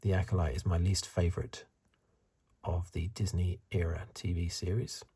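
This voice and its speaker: A man giving soft-spoken, half-whispered ASMR commentary in a husky, breathy voice, musing and rambling as he goes. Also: low voice, level tone, moderate pace. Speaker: ASMR reviewer.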